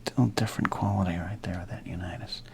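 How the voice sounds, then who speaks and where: A man's voice: low voice, steady tone, quick pace, darkish tone, slight background noise. A man casually commenting while opening packs of trading cards, with wry jokes and half-whispered asides. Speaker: card collector opening packs.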